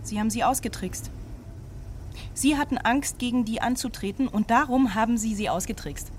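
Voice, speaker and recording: A woman's voice: on the high side, moderate pace, a little mellow, a little hiss or hum, natural voice. A woman playing a determined, impatient young woman in an audio drama, urgent and questioning. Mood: tense, impatient. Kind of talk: German audio drama dialogue.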